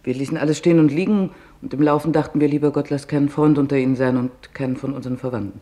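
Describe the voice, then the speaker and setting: A man's voice: mid-range voice, steady tone, racing, mellow, pitched above the performer's own voice, close to an everyday voice. A man reading the part of an anguished woman survivor who earnestly and vividly recounts her memories in an audiobook. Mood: urgent remembering. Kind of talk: audiobook testimony narration.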